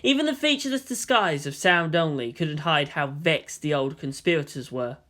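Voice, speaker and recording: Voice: medium pitch, expressive, moderate pace, fairly bright tone. A narrator giving a scripted, dramatic reading of anime fan fiction. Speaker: audiobook narrator.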